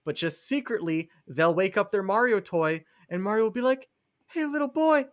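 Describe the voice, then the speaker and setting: A man's voice: high-pitched, animated, moderate pace, a bit bright. A man co-hosting a podcast, talking casually and earnestly as he argues his opinion, qualifying points as he goes. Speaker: podcast co-host.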